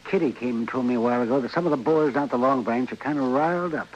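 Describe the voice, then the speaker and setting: An American man acting a folksy, wary older frontier doctor in an old-time radio western, giving cautionary, drawn-out talk. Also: mid-range voice, natural voice. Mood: cautioning. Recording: muffled, noisy vintage radio recording.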